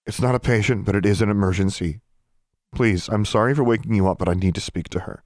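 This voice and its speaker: A man's voice: lowish voice, fast, a little mellow, no room sound, clean studio sound, pitched above the performer's own voice, lightly acted. A man voicing a teenage girl witch who is desperate, defiant and insistent, as in an audiobook. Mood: urgent, pleading. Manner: insistent, challenging.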